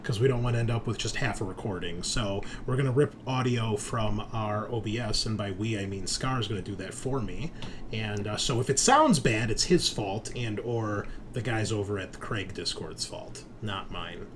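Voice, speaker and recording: A man with a fairly low voice hosts a roleplaying podcast as game master. He talks in casual, informal chat with the odd swear word. There is faint noise on the recording. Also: moderate pace. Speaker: host and game master of a tabletop roleplaying show.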